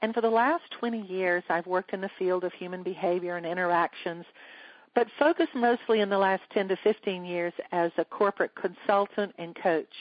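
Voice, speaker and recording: A woman's voice: on the low side, dry recording, lo-fi sound. Earnest woman hosting a teleseminar. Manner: measured, earnest, scripted delivery. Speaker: leadership coach and seminar host. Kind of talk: teleseminar presentation.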